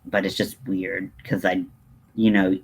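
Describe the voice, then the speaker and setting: A man's voice: low voice, little pitch movement, measured pace, vocal fry, slight background noise. A man hosting a casual livestream, rambling in a hesitant, digressive, self-deprecating way. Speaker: livestream host.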